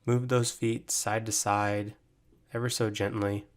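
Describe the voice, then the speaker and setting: A man guiding a body scan meditation, giving gentle, measured instructions with long pauses. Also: on the low side, little pitch movement. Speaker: meditation guide, mental performance coach.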